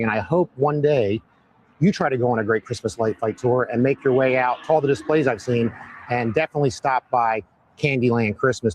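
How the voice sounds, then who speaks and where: A hoarse man hosting a live-stream interview outdoors, upbeat and fond of repeating phrases for emphasis. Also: medium pitch, slight background noise, low-quality recording. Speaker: host. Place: outdoors at a Christmas light display by a road.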